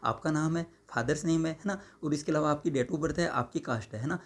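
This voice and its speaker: A hoarse man, explaining exam registration while answering viewer comments and tagging his sentences with "है ना" and "ठीक है". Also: a little high, normal speed. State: hoarse, sore throat. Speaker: exam-prep YouTuber.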